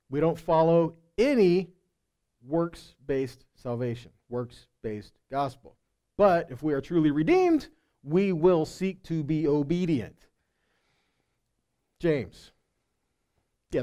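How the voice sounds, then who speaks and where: Male Bible teacher, middle-aged. Soft-edged, warm tone, conversational yet emphatic sermon delivery on a headset mic. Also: fairly high pitch. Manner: conversational, checking in with listeners. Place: indoor stage in a meeting room or hall. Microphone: headset microphone.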